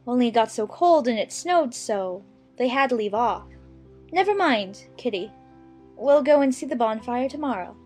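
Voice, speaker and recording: A woman playing a lively, chattering little girl who mock-scolds her kitten in a dramatized children's storybook. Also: fairly high pitch. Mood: chatty, excited.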